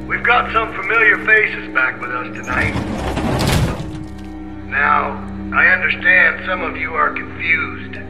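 An actor playing a calm, grandiose authoritarian leader giving a measured speech over a speaker in a game. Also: male voice, fairly high pitch, highs cut off. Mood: solemn, paternal. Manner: measured, oratorical. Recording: echoey loudspeaker, music behind.

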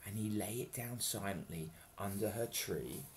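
A man's voice: on the low side, normal speed, a little hiss or hum. A man in his thirties reading a children's picture book aloud expressively, with playful, chatty asides to explain the story. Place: home kitchen, indoors. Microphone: webcam at arm's length.

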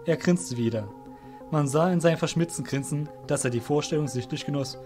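A man's voice: a little high, fairly quick, a little mellow, faint music behind. A man narrating a scripted fantasy story as the narrator of an audio drama.

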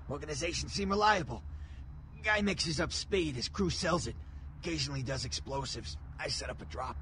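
An actor playing a badly beaten, bitter gangster ghoul in a video game, groaning in pain. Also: male voice, fairly high pitch, a bit bright, slight background noise. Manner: groaning, pained, gritty streetwise talk. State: in pain, straining.

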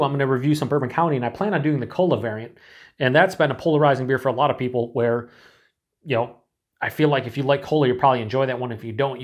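An enthusiastic middle-aged man talks casually to a fixed camera in a small room. His voice is mid-pitched and conversational. He swears freely and sometimes slips into breathy, hushed asides. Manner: chatty and enthusiastic, swears, drops to breathy whispers while sniffing. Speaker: beer reviewer. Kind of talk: casual solo review to camera.

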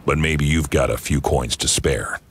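An actor playing a gruff, world-weary workman in a video game, grumbling bitterly and helping only grudgingly. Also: very deep voice, moderate pace, noisy background. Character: bitter, gruff laid-off male workman, down on his luck.

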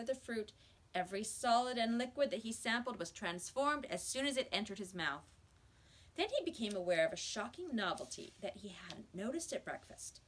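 A middle-aged woman reading a children's book aloud in a measured, expressive way, indoors in a small room. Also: medium pitch. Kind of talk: children's storybook read-aloud. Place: small school office or library room, indoors.

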